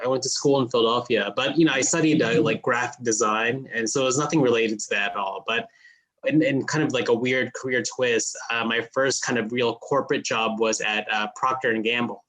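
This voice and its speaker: A man from the Philippines, a guest telling his story in a relaxed, casual way with lots of fillers. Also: medium pitch, moderate pace, poor audio. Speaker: guest, food brand founder. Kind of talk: casual interview talk.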